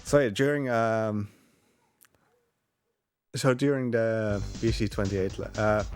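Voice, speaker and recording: Low-voiced man commentating over game music. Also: slow. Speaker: speedrunner commentating his own run. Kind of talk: casual live gameplay commentary. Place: live gaming marathon event, game audio behind.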